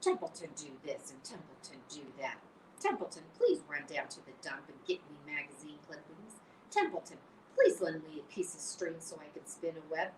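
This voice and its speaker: A woman's voice: medium pitch, very animated, moderate pace, fairly bright tone. A lazy, grumbling rat full of sarcastic self-pity, swinging his voice about as he mocks, as a woman puts him on. It is a storybook reading caught at a distance in a roomy space with light hiss. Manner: sarcastic, mocking, growling.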